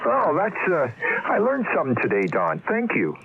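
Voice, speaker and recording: A man working as an amateur radio operator, talking unscripted and haltingly, searching for words over a shortwave radio contact. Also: fairly high pitch, wildly swooping pitch, heavy background noise. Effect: heard over shortwave radio. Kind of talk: unscripted ham radio conversation. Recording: narrow tinny amateur radio transmission.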